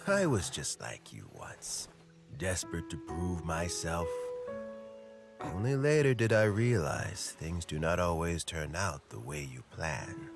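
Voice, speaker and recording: An actor playing a kindly older fisherman who gives slow, gentle, fatherly advice to a young man. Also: sits low, big pitch swings, vocal fry, full character voice. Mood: gentle, advising. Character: kindly old male fisherman mentor, patient. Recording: game audio with music.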